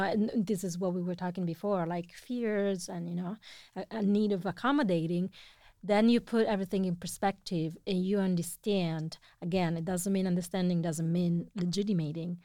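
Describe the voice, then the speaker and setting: A woman in her thirties with an Italian accent, whose first language is Italian, telling a story in a casual conversation, animated and laughing often. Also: lowish voice, dry recording, studio quality. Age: 30s.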